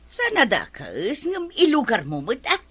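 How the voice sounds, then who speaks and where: A woman's voice: sits high, wildly swooping pitch, normal speed, a bit bright, full character voice. An actress with an Ilocano accent playing a nosy, scolding village woman in a comedy drama. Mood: teasing.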